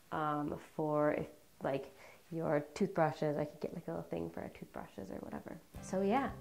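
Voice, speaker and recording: A woman's voice: fairly low pitch, darkish tone. A young woman with a congested, slightly sick voice, chatting bubbly and casually through a tour of her home, often laughing and saying "like" and "um", recorded indoors on a lapel mic. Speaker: home vlogger. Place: indoors, home basement rooms.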